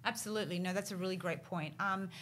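A woman's voice: medium pitch, fast, fairly bright tone. An Australian woman hosting a casual interview, chatty and affirming, with many fillers. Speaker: host, interviewer.

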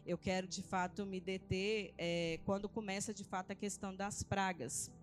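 A Brazilian woman gives an informal Bible teaching. Her voice sits at a medium pitch, and she talks in a colloquial, conversational way with frequent tag questions. Faint music plays behind her. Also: steady tone, normal speed. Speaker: church preacher. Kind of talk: informal Bible teaching sermon, reading and retelling scripture. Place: church service.

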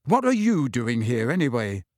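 A man's voice: medium pitch, big pitch swings, moderate pace, darkish tone, no room sound, close to an everyday voice. A man voicing a defiant teenage boy wizard who speaks bluntly and with indignation, in a dry audiobook reading. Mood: indignant.

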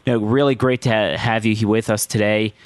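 A man hosting a political podcast, hoarse and losing his voice, speaking in emphatic, halting phrases. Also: lowish voice, fairly even intonation, no echo. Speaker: podcast host. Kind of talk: political monologue and guest introduction.

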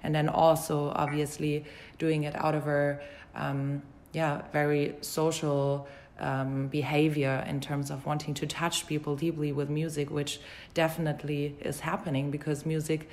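A woman interviewer with a low voice and very even intonation. She speaks conversationally and thoughtfully, with a measured, supportive manner as she responds to her guest. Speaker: interviewer, host.

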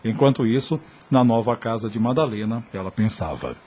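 A man's voice: mid-range voice, normal speed, mellow, a little hiss or hum. A man giving scripted narration for a radio soap opera in a solemn, literary manner.

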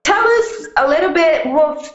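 A woman's voice: extremely high pitch, animated, a little slow. A woman hosting a podcast, asking curious, rambling follow-up questions in a casual chat. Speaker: podcast host, coach. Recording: dull, echoey remote audio.

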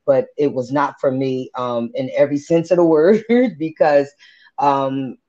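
A woman's voice: a bass voice, fairly even intonation, unhurried, dark tone. A woman in her forties sharing her personal faith testimony, speaking candidly and earnestly with religious phrasing. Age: 40s. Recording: dull lo-fi podcast audio.

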